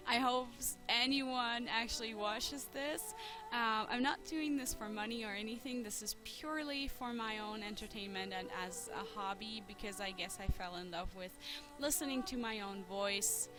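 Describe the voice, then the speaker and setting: Woman, German accent, non-native speaker, casual gaming talk, halting with ums, fairly high voice, game music underneath. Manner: hesitant, lots of ums, shy self-deprecating laughs. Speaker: let's player.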